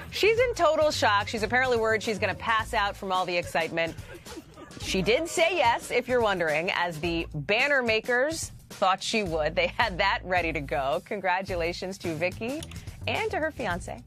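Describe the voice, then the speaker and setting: An American woman in her thirties presenting lively, conversational TV news narration with emphatic delivery, in a studio. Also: mid-range voice. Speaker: news anchor. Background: music.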